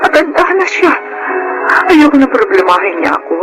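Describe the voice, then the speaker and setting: A woman's voice: high-pitched, big pitch swings, normal speed, rough recording. Long-suffering mother, Filipino accent, wounded emotional delivery, radio drama, faint music underneath. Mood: sad, resigned. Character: long-suffering battered wife and mother, anguished, protesting.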